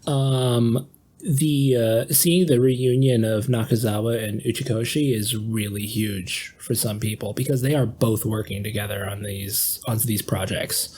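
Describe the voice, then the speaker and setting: A man's voice: on the low side, steady tone, measured pace, darkish tone, slight background noise. A man in his thirties discussing things casually as a podcast co-host. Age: 30s.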